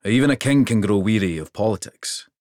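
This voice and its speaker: A man's voice: lowish voice, expressive, fairly quick, darkish tone, no room sound, clean studio sound, close to an everyday voice. A man voicing a jovial, towering king who speaks in a hearty, commanding way. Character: booming jovial giant of a king.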